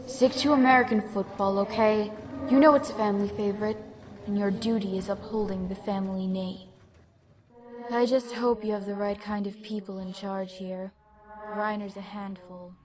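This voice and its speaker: A woman's voice: medium pitch, steady tone, moderate pace, music in the background, lightly acted. Woman acting a strict mother in echoing reverb. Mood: stern, disdainful. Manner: scolding, curt. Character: Missus Braun, strict, haughty, overbearing mother. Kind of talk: dramatic voice acting in an audio drama. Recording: long hall reverb.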